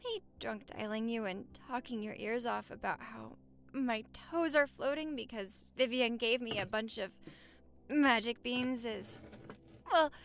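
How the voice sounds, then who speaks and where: Woman acting a high-voiced, flirty newlywed wife. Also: a little slow. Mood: flustered. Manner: teasing, flirty banter with sighs and giggles. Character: playful, flustered, lovestruck newlywed wife. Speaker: audio roleplay performer. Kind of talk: romantic audio roleplay acting, intimate chat to the listener.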